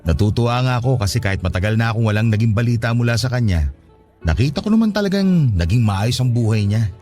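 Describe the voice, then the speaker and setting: A man's voice: mid-range voice, lively intonation, quick pace, darkish tone, natural voice. A male actor with a Filipino accent playing an eager, trusting, affectionate older brother from the province in a radio drama. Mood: reassuring, hopeful. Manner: exclamatory, swooping delivery. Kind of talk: emotional radio drama acting. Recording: faint music under dialogue. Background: faint music.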